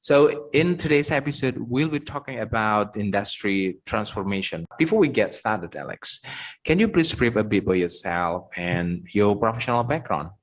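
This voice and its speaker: A man's voice: lowish voice, expressive, normal speed, poor audio. A man hosting an interview podcast, introducing and questioning in a conversational way. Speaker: podcast host.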